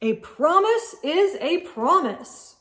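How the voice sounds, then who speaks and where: A woman's voice: high voice, very animated, takes it slowly, a bit bright, reverberant, pitched above the performer's own voice, full character voice. A woman voicing an eager male moose, polite but insistent, in a children's storybook read-aloud.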